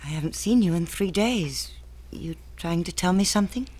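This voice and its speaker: An actress in a radio drama playing a devoted, loving fiancée, speaking tenderly and intimately. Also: female voice, fairly low pitch, animated, moderate pace, a little mellow. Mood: hurt, worried.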